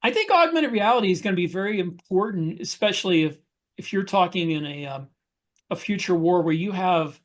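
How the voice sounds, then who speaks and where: Male podcast co-host, voice a bit high, casual explanatory delivery with pauses mid-thought. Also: expressive. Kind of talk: casual discussion of writing military science fiction.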